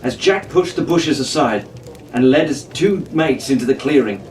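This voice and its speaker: A man narrates an adventure story in a theatrical pirate-captain manner. His voice sits at a middle pitch. It comes from a little way off the mic in an echoey room, with faint noise underneath. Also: expressive, normal speed, far from the mic. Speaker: storyteller reading an adventure novel aloud.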